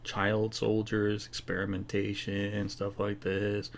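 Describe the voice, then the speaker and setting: Casting: male game streamer, lowish gravelly voice, laid-back casual commentary, musing to himself. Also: completely flat delivery, slow pace, slight background noise. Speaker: gaming streamer. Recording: faint game sound behind voice. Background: video game audio.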